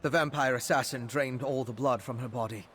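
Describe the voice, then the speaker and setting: An actor playing an articulate, earnest scholar companion in a fantasy video game. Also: male voice, mid-range voice, steady tone, a little fast, a bit bright, slight background noise, lightly acted. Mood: grim, analytical. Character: scholarly male adventuring companion, curious and urgent.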